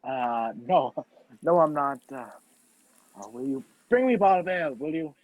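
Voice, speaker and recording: A man's voice: a little high, expressive, measured pace, no echo. A man acting a wrongly accused, impatient man on the run in a radio play over a video call. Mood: awkward, evasive. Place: video call, dry room. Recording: muffled video-call audio.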